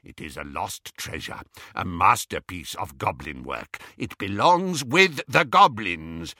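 Man voicing a stern, proud goblin with deliberate speech. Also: sits low, sing-song, bright, forceful tone, dry recording, studio quality, exaggerated voice. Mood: angry, indignant. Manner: firm, deliberate, formal speech. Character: stern, proud, easily angered male goblin. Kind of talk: audiobook character voice.

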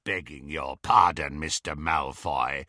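Here is a man acting a menacing werewolf brute from a fantasy audiobook. He growls low and coarse, greedy for reward, with a rough, mock-polite turn of phrase. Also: slow pace, pushed and bright, no room sound, studio quality, heavily acted. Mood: menacing, grasping.

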